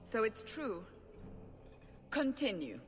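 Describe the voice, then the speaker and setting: A woman's voice: on the high side, very animated, slow pace, in a large hall, faint music behind. An actress playing a suspicious female interrogator who speaks curtly and demandingly. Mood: stern. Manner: curt, demanding.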